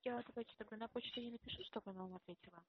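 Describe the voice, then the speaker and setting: A woman speaks very quickly and in a businesslike way. Her voice is a little high, heard over a muffled phone line. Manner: businesslike, brisk. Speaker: university enrollment specialist. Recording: dull phone call, faint noise.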